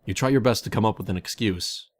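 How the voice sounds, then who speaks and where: A man's voice: on the low side, quick pace. A man reading scripted fan fiction as the narrator. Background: music.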